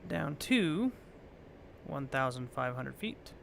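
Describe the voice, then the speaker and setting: A hoarse, raspy middle-aged man calmly talking himself through a flight simulator game, thinking aloud as he flies. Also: medium pitch, slow, slight background noise. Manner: relaxed, thinking aloud while flying. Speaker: flight sim streamer. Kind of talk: casual gameplay commentary.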